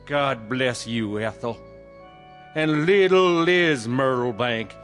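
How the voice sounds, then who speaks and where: Slow-talking Southern country preacher. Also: male voice, mid-range voice, over music. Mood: solemn, kindly. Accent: American South. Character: folksy rural Southern reverend, solemn and fervent. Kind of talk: radio drama acting, funeral eulogy.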